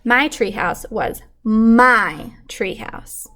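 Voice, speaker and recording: A woman's voice: a little high, animated, drawn-out words, fairly bright tone, slight background noise. A woman reading a children's storybook aloud expressively, like a teacher with a class lesson.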